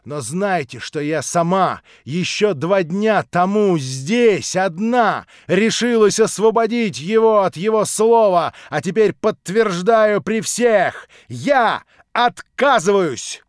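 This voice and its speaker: A man's voice: high-pitched, animated, normal speed, darkish tone, no room sound, clean studio sound. A man reading an audiobook voices a proud young woman, speaking impassioned and outraged. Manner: impassioned, rising to outraged cries.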